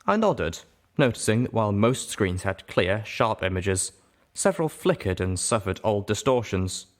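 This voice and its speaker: Low-voiced man reading with lively intonation. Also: moderate pace. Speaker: audiobook reader and show host. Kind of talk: expressive first-person story narration.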